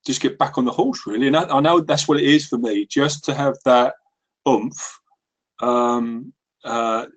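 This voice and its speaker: A man's voice: medium pitch, unhurried. A man chatting reflectively on a conference call, hesitant and searching for his words. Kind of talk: reflective personal chat.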